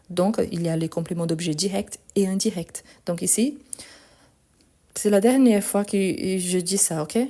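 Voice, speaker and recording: A woman's voice: medium pitch, dark tone. A woman teaching grammar in a live lesson, didactic and insistent, repeating key points. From France and Brazil. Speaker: French teacher.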